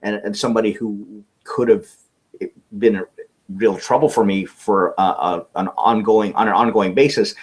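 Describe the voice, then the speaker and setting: Low-voiced earnest storyteller, man. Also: steady tone, moderate pace, slightly rough. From California. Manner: earnest, often restarts words while telling a story. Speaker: interview guest. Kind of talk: personal storytelling in conversation.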